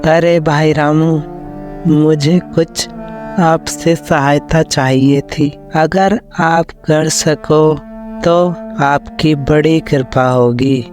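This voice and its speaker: Humble, slow village man, higher-pitched acted. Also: fairly even intonation, darkish tone. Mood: humble request. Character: humble, polite village neighbour, apologetic and kind. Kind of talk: Hindi moral story cartoon voice acting. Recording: music under the voice.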